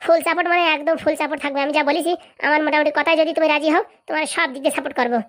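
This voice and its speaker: Woman playing high-voiced, rapid, bossy village madam. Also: fairly even intonation, a bit bright. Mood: bossy, demanding. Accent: rural Bengali. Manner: domineering, scolding. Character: bossy, greedy village madam running for election. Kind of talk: comedy cartoon dialogue.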